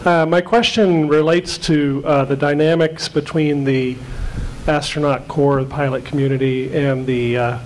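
A man's voice: a little high, fairly even intonation, measured pace, a little hiss or hum. A man in the audience politely asking a panel a long question with many hesitations, in a large auditorium.

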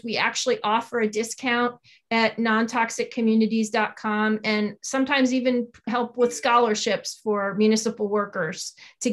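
A woman in her forties speaking earnestly and emphatically as an interview guest, explaining her advocacy work over a video call. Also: on the high side. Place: small home office, indoors. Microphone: video call on a computer.

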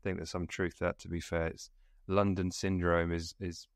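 A young man hosts a podcast from a small room lit by coloured lights, with a low, smooth, clear voice. He sounds upbeat and playful, and the sound is dry. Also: level tone, normal speed, no room sound. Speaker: podcast host. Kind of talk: casual podcast interview. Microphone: video call.

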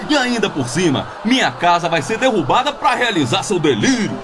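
A man's voice: sits high, very animated, a little fast, crowd noise, background noise. A man playing a pompous, combative political candidate with bombastic delivery and a Brazilian accent, in a comic radio drama. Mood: mocking outrage. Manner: bombastic, declamatory.

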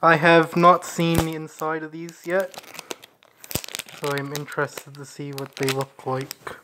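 A woman narrating a casual unboxing in a halting, deliberate way. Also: deep voice, level tone, noisy background. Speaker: unboxing video maker.

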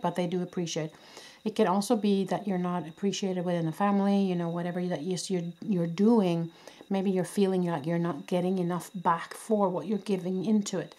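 A woman with a voice worn from a cold, giving a gentle, reassuring pick-a-card tarot reading with many fillers and restarts. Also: mid-range voice. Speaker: tarot reader. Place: indoors at a candlelit table. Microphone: camera above a table, hands only.

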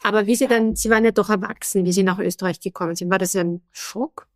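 A woman's voice: mid-range voice, fairly even intonation, fast talker, no room sound, clean studio sound. A woman hosting a podcast interview, asking long questions that she restarts and rephrases as she goes. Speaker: podcast host.

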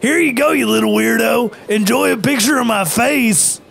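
A man as a high, very gravelly grumpy elf. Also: normal speed, a bit bright, full character voice. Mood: surly. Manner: surly, sarcastic. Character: crude grumpy male Christmas elf helper.

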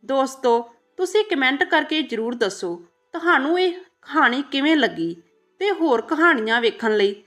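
High, lively woman storyteller. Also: moderate pace, faint music behind. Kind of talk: scripted narration of an emotional moral story.

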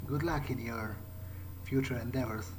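A man's voice: medium pitch, fairly even intonation, normal speed, very noisy. A man giving in-character roleplay commentary as he plays a game, with wry asides to himself. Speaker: gamer playing in character. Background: loud game music.

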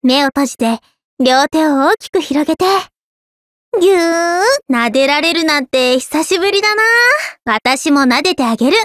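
A woman voicing an easygoing, affectionate teenage schoolgirl in an anime game, with a caring, gently teasing manner. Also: sits high, animated, normal speed, no room sound, studio quality. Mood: caring, encouraging, then teasing, playful. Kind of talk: scripted anime game voice lines.

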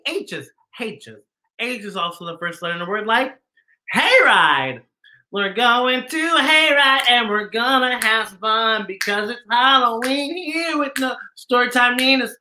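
A man presents for children in a bright, very animated voice that swings up and down. He is theatrical and exclamatory, often slipping into playful sing-song. His words are slightly muddied, as if spoken around false teeth. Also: very high voice, a little hiss or hum. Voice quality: slightly impeded articulation from costume fangs. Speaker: children's story-time host, drag performer.

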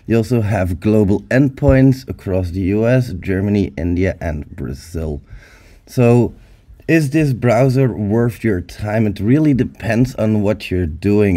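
A man with a hoarse voice from being sick, giving an upbeat, chatty tech review over a screen recording. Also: sits low, mellow. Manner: upbeat, chatty, addresses viewers as hackers. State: hoarse from illness. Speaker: YouTube security reviewer.

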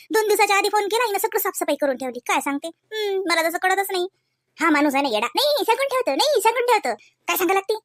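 A woman's voice: very high-pitched, dry recording, studio quality. A woman voicing a snobbish, boastful, envious sister-in-law in a comedy cartoon, affected and condescending. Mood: resentful, grumbling. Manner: affected, condescending, with snide muttered asides. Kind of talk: exaggerated cartoon comedy dialogue.

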